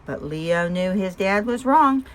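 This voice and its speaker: A woman reading a picture book aloud for young children with expression, pausing to ask the listeners simple questions. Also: medium pitch, animated, slight background noise. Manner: asks listeners simple questions between story lines. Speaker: storyteller and host of a read-aloud show.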